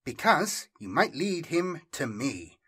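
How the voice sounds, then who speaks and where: A man's voice: on the high side, very animated, slow, sharp tone, slightly rough, pitched above the performer's own voice, exaggerated voice. A man voicing a smug, self-assured male fugitive in an audiobook, superior and teasing in manner. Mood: amused, teasing. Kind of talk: audiobook character dialogue.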